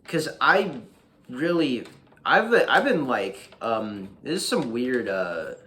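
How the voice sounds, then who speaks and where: A young American man chatting casually to his viewers in a halting, informal way, thinking aloud as he goes. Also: mid-range voice, big pitch swings, bright, forceful tone, a little hiss or hum. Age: young adult. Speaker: YouTuber. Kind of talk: casual vlog chat to viewers. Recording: music playing behind the voice. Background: background beat music.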